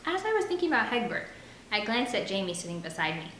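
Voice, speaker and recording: A woman's voice: mid-range voice, big pitch swings, quick pace, a bit bright. Woman, audiobook reading, first-person narration, mid-range voice, roomy echo, faint background noise. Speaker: audiobook reader.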